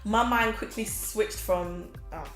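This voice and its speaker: A woman in her twenties giving casual, energetic motivational talk to a camera as host, seated in a small room. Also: medium pitch, normal speed. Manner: energetic, expressive, gestures a lot, laughs often. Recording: roomy echo with faint background music.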